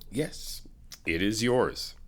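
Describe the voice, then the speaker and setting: A young man in an ASMR comfort roleplay, talking to the listener as a reassuring friend in a chatty way with soft laughs. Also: on the low side, extremely slow.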